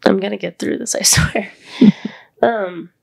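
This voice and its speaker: A woman hosting a podcast in casual, heartfelt chat. She is congested and her voice sits deeper than usual, and she talks openly and vulnerably, close to tears. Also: steady tone, unhurried, creaky. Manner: open and vulnerable, near tears. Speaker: podcast host.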